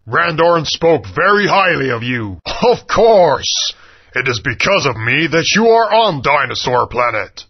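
Brief: a proud, fatherly dinosaur king, husky voice, lo-fi sound. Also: fairly high pitch, heavily acted. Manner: warm, regal and fatherly. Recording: dull lo-fi game audio.

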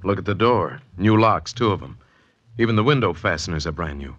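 A man's voice: sits low, animated, fairly quick, fairly bright tone. An actor playing a troubled, earnest artist in his thirties in a 1940s radio drama. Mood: troubled, insistent. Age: 30s. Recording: dull vintage radio recording with noise.

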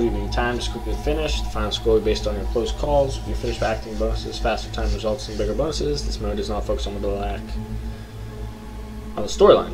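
A young man with a low voice commentating a horror game from his bedroom, joking with mock bravado and reading the game's text aloud. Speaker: gaming YouTuber. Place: small bedroom, indoors. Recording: noisy home recording.

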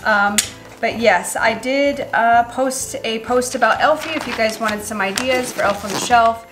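A woman's voice: fairly high pitch, unhurried, background noise. Nasal woman chatting casually at home. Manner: chatty and relaxed, many ums. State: congested from a cold, nasal. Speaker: lifestyle vlogger. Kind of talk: casual chat while wrapping gifts. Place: echoey home kitchen and living room.

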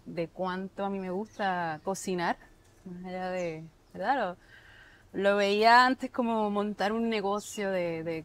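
A Puerto Rican woman chef in her thirties, answering interview questions in a thoughtful way, restarting often, recorded with a lapel mic on an outdoor patio. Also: medium pitch. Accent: Puerto Rican Spanish. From Puerto Rico. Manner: thoughtful, many restarts and filler words.

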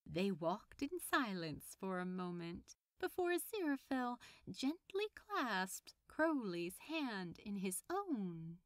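Audiobook-style narrator: woman, leisurely and expressive, recorded dry and close. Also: mid-range voice, takes it slowly, hardly any pauses, dry recording. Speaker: fan fiction narrator. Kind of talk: expressive scripted story narration.

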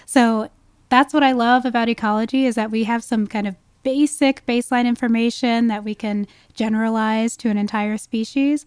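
A woman's voice: fairly high pitch, fairly even intonation, moderate pace, smooth, no room sound. A woman speaking as a guest expert in a conversational interview, explaining with enthusiasm. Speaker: guest expert, wildlife ecologist.

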